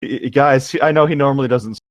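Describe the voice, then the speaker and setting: A man's voice: medium pitch, a little fast. A young man chatting casually as a co-host, throwing in playful, joking interjections. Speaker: co-host reacting.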